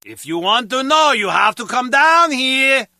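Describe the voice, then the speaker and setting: Exasperated male scientist for a game: high, sharp voice, sarcastic, testy delivery. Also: sing-song, a little slow, no echo, studio quality, exaggerated voice. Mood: coaxing. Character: exasperated male scientist bickering with his colleague. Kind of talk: animated video game acting.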